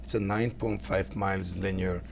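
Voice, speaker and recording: A middle-aged man from Lebanon, speaking English, answering interview questions earnestly and haltingly, with many fillers. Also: low voice, steady tone, gravelly, slight background noise. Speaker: guest, council candidate.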